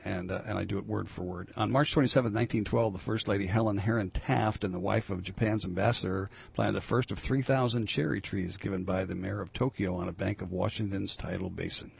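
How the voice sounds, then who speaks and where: An older man with a growly, gravelly voice hosting a casual talk radio show, rambling with many fillers and restarts. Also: on the low side. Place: radio studio. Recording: dull lo-fi radio broadcast.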